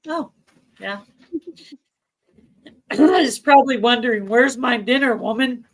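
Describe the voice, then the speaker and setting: A woman's voice: on the high side, slow. Friendly married lady chatting on a stream, agrees a lot. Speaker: livestream co-host. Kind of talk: casual chat.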